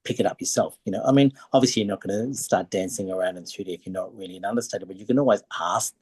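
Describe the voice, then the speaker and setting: An older Australian man with a low, husky voice, recorded close and dry. He talks as an interview guest, reminiscing in an anecdotal, conversational way about a performing life. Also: very fast, a little mellow, no room sound.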